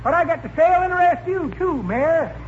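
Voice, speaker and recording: An actor playing an excitable, pushy old man in a 1940s radio comedy, talking in a folksy, familiar, exclamatory way. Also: very high-pitched, moderate pace. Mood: eager, folksy. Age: older. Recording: dull, noisy 1940s radio recording.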